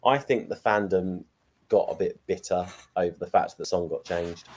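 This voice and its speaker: A man's voice: sits low, normal speed, darkish tone, a little hiss or hum. A man hosting a fan panel, chatty and repeating himself as he hands questions to the others. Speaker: panel host. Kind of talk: casual panel chat.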